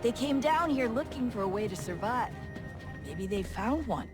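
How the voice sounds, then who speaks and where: An actress plays a sincere young woman on a covert team in a video game. She talks in a natural, hesitant, conversational way, earnest and troubled. Music runs clearly underneath. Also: on the high side, expressive, moderate pace, natural voice. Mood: sad, bitter.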